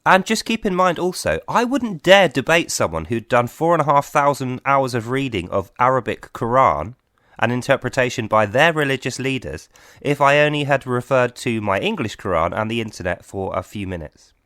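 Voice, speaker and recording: A man's voice: medium pitch, lively intonation, normal speed, fairly bright tone. Man lecturing straight to camera. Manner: argumentative, lecturing, talks straight at the viewer. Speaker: video creator. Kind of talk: direct-to-camera response video.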